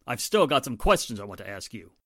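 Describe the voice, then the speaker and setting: An actor playing a tough, authoritative police inspector in a 1940s-style radio drama, gruff and commanding. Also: male voice, medium pitch, big pitch swings, quick pace, a bit bright, no room sound, clean studio sound. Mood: stern.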